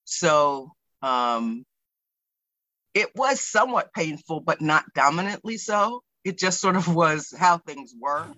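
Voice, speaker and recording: Older American male interviewee, sixties, clear-edged bright tone, dry close sound, thoughtful oral-history recollection with halting phrasing. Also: on the high side, animated, no echo, poor audio. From Pennsylvania, United States. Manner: reflective, hesitant, pausing to choose words.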